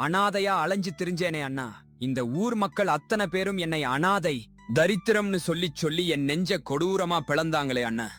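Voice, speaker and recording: A man's voice: fairly high pitch, a bit bright, lightly acted. A man playing an honest, humble, forgiving orphan grown up, in a dramatised moral story. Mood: tearful.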